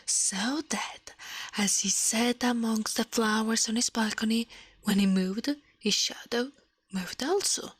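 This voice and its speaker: A woman with an Italian accent speaking softly in an ASMR livestream, often whispering, close to the microphone. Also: fairly high pitch, takes it slowly, no room sound. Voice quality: soft, breathy, often whispered. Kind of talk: ASMR, soft-spoken and whispered storytelling and chat.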